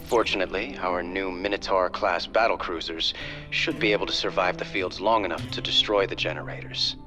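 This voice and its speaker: An actor playing a refined young male heir who briefs in a measured, formal way. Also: sits low, sharp tone, soft background music.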